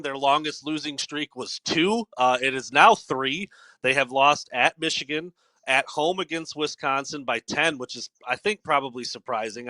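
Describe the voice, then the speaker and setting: A forty-year-old man hosting a sports podcast, his voice bright and forward. He chats loosely and casually, full of fillers and restarts. The sound is dry and close. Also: mid-range voice, no echo, poor audio. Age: 40s. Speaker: podcast host.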